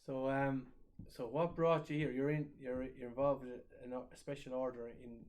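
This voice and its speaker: A man's voice: mid-range voice, fairly even intonation, moderate pace, crowd noise. Brief: man, school interviewer, easygoing and casual, gives short agreements. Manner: easygoing, laughs along, short affirmations. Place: school in Ireland.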